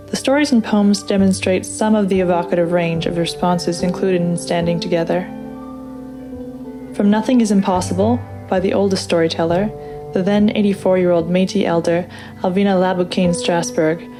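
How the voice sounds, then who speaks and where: A woman giving a measured, earnest scripted reading as narrator of a community story project. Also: on the low side, mellow. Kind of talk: scripted reading over music. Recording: music playing under the voice.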